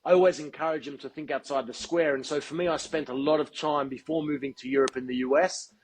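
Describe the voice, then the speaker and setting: A man being interviewed as a guest, speaking in a measured, articulate and deliberate way. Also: a little high, steady tone, breathy edge, low-quality recording. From Australia. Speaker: guest, football TV presenter. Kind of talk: podcast interview.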